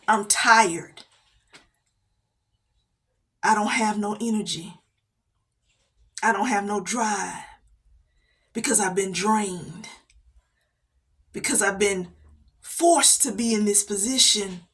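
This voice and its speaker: A woman giving a motivational faith talk, preaching with repeated phrases and soft laughs between points. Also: mid-range voice. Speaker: inspirational speaker.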